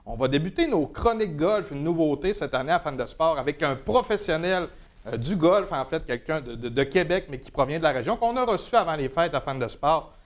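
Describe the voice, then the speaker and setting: Québécois TV sports host. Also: male voice, a little high, expressive, brisk. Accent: Québécois French. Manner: hesitant, many restarts and fillers. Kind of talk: TV interview.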